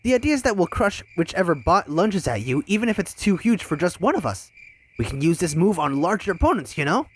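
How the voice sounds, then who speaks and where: A man playing an eager, cocky, youthful cartoon hedgehog hero in a fan audio drama, chatty and excitable. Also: sits high, fairly quick, a little hiss or hum. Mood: upbeat, explaining.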